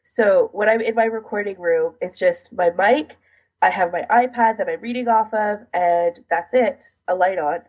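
A woman's voice: medium pitch, moderate pace, breathy edge, poor audio. A woman co-hosting a casual podcast, chatting and explaining her routine in detail in an informal way. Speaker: podcast co-host.

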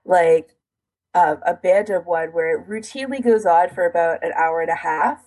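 Chatty woman with a slightly husky voice. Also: on the low side, normal speed, darkish tone, rough recording. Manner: chatty, explains in detail with frequent 'like'. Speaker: podcast co-host. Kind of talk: casual podcast chat.

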